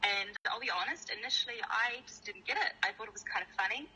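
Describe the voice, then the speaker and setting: A woman's voice: medium pitch, quick pace, pushed and bright, breathy edge, rough recording. A woman with a New Zealand accent, a company co-founder, chatting in an interview over a video call in a friendly, self-deprecating way with many fillers. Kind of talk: casual interview.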